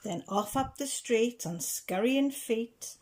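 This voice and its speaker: A woman reading a children's rhyming picture book aloud with measured, deliberate phrasing. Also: on the high side, lively intonation, darkish tone, a little hiss or hum. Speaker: reader of children's picture books. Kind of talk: storybook read-aloud.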